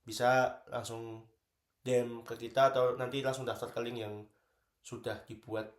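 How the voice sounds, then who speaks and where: A woman's voice: rumbling low, flat delivery, unhurried. A young woman, casually explaining events as a student committee member. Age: young adult. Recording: very roomy, echoey indoor sound.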